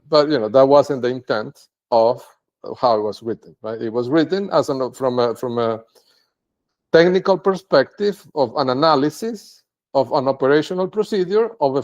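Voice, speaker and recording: Native Spanish-speaking man, solo vlog monologue, halting and rambling, voice sits a bit high. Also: darkish tone. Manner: rambling, halting, tags sentences with "right?". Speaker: video blogger. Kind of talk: unscripted monologue to camera.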